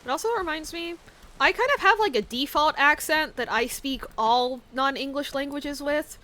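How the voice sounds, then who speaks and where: Woman streamer, slight lisp, rambling with giggles, bright and fairly high, faint background noise. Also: normal speed. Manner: rambling, lots of fillers and giggles. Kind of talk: casual chat while gaming.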